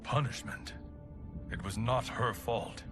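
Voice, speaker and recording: An actor playing a grave, noble emperor returned from death in a video game cutscene. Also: male voice, mid-range voice, slow, natural voice. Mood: grave, commanding. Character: noble resurrected emperor, grave, devoted father and husband. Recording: loud music behind the voice.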